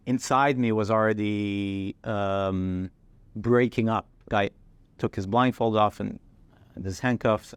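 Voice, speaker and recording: A young man from Israel giving measured, reflective personal testimony about his time as a soldier. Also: fairly low pitch, clean tone, no room sound. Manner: measured, reflective storytelling with occasional "um". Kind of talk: personal testimony, clip from a talk.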